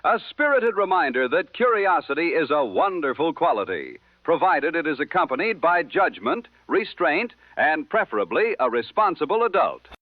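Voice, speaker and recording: A man playing a genial, courtly 1960s radio host, speaking formally with measured, dry humour. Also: a little high, big pitch swings, no echo, narrow, tinny audio. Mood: genial, wry. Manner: measured, formal, dryly humorous. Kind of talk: 1960s radio broadcast acting. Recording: dry, band-limited vintage radio sound.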